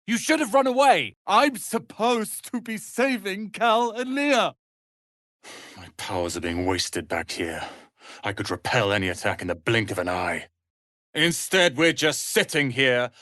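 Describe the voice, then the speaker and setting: An actor playing a proud, irritable young male wizard apprentice in a fantasy video game, haughty, theatrical and sharp-tongued. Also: medium pitch, no echo, studio quality. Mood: furious, desperate.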